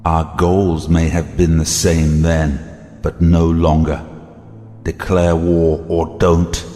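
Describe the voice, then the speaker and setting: An actor playing a cold, vengeful villain in an audio drama, commanding minions in imperious, deliberate declamation. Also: male voice, rumbling low, level tone, dark tone. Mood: cold, bitter. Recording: reverberant hall, faint music behind.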